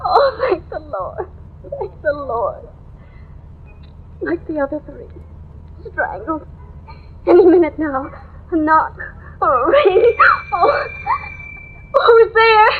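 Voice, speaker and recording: A terrified housewife in an old radio thriller, her voice very high and tearful. She speaks in halting, breathless pleas, full of paranoid dread. The recording is old and muffled. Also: animated, noisy background, heavily acted. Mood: fretful, frantic, then terrified, hysterical. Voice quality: shrill, tearful. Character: frantic, paranoid young housewife, terrified of a killer. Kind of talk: 1950s radio horror melodrama acting.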